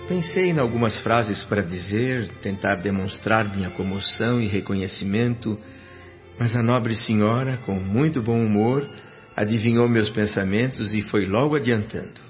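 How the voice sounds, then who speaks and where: A man's voice: lowish voice, normal speed, close to an everyday voice. An actor with a Brazilian accent playing an earnest, grateful spirit who narrates his own story in a radio drama, reverent and literary in manner. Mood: reflective narration. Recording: dull radio recording, faint music.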